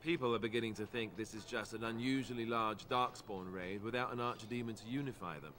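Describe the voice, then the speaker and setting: A man's voice: fairly low pitch, steady tone, brisk, natural voice. A man playing a wry, good-natured young warrior in a fantasy video game, with understated, earnest delivery. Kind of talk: video game dialogue acting.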